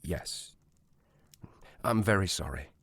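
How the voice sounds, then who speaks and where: A sympathetic police sergeant in a period audio drama. His voice is very low and closely recorded with no room sound. He is formal and polite, yet hesitant and apologetic. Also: lively intonation, takes it slowly, gravelly, a bit bright, breathy edge, no room sound, clean studio sound, exaggerated voice. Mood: awkward, apologetic.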